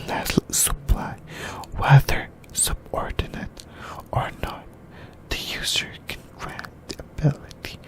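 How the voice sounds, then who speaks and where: A whispered voice speaks very slowly, as in an ASMR video. The delivery is halting and comes in short phrases, like a YouTuber softly talking through an anime discussion.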